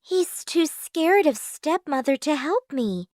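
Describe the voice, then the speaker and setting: A gentle, put-upon young fairy-tale heroine, acted by a woman narrator. Her high voice is timid, polite and wistful, with lively rises and falls in pitch. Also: slow, dry recording, studio quality.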